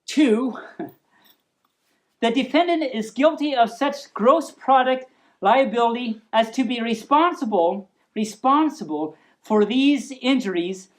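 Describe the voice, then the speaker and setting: A woman's voice: a little high, slow. A woman hosting a storytime, reading aloud in a playful manner with casual asides. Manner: playful, chuckling asides between formal reading. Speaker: storytime host.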